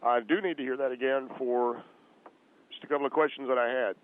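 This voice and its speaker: A man's voice: medium pitch, fairly even intonation. Man playing an aggrieved caller on tinny phone. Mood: earnest, halting. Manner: halting, earnest, indignant. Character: defensive, aggrieved, humorless male radio caller. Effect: telephone line. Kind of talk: comedic phone-in radio act. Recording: tinny, band-limited phone line.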